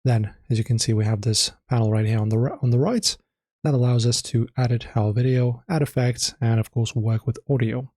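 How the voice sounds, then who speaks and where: A man narrating a casual software tutorial in an informal, slightly hesitant way, often correcting himself as he explains. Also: mid-range voice, fairly even intonation, a little fast, no room sound, studio quality. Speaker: tutorial host.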